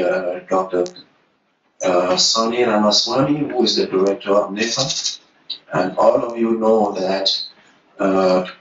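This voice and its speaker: Deep-voiced Cameroonian man lecturing. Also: fairly bright tone, slight background noise. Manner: earnest, speaks slowly with fillers. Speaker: program leader giving a conference talk. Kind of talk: conference presentation. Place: conference room. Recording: distant roomy hall recording, dull sound.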